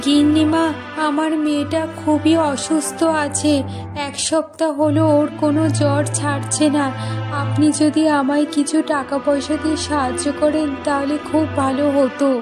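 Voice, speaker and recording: A woman plays a poor village mother in a cartoon tale. She is humble and pleading, with a high voice that varies little, over faint music. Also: flat delivery, moderate pace, close to an everyday voice. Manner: pleading, deferential. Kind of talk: cartoon story dialogue.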